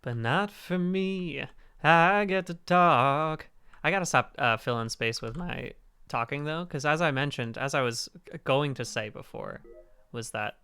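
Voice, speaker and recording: Male host, hoarse and raspy, bright tone, rambling gamer chat. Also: a little slow. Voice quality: deeper raspy register. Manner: rambling, self-interrupting. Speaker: stream host. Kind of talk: casual gaming chat on a charity stream.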